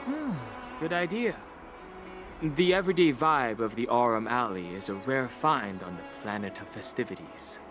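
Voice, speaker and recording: A man voicing a calm, reserved young companion in a video game, measured and understated. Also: mid-range voice, natural voice. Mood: composed. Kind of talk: video game character dialogue. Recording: music behind the voice.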